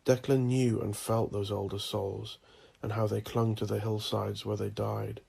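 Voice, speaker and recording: A man narrating a dark audio drama in a low, gravelly voice with plenty of vocal fry. His tone stays flat and husky as he delivers each line slowly and ominously.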